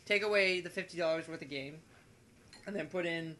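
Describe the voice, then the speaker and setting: A young man with a fairly high, bright voice chats casually and circles back over his own phrases. He sounds like a guest on an amateur podcast, with some noise in the background. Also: fairly quick. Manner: rambling, repeats and rephrases himself. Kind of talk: casual chat about video games.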